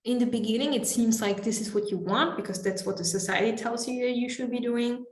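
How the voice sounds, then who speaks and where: A woman's voice: a little high, a little fast. A woman guest telling her story candidly in an interview, reflective and hesitant, restarting her sentences often. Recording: roomy echo.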